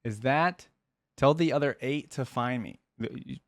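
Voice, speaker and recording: A man's voice: medium pitch, measured pace, dry recording, studio quality. A man hosting a reaction video, musing aloud and addressing viewers in casual, conversational commentary.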